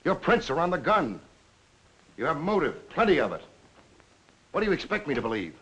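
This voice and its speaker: An actor playing a blunt, hard-boiled police lieutenant in a 1940s film noir, with an American accent and a clipped, pressing way of talking. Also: male voice, a little high, very animated, fairly quick, fairly bright tone. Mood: pressing, accusing. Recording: noisy 1940s film soundtrack.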